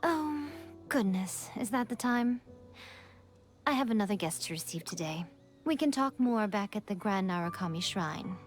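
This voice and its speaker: A woman voicing a sly, composed shrine maiden in a video game, with measured, elegant, teasing delivery and a superior air. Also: mid-range voice, expressive, natural voice. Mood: composed, calculating. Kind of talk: English video game voice acting. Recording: studio voice over background music.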